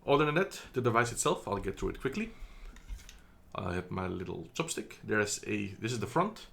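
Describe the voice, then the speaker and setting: Casual low-voiced man reviewing a gadget. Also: big pitch swings, normal speed, creaky, a bit bright. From Netherlands. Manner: chatty, hesitant, many ums and self-corrections. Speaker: product reviewer. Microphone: phone camera.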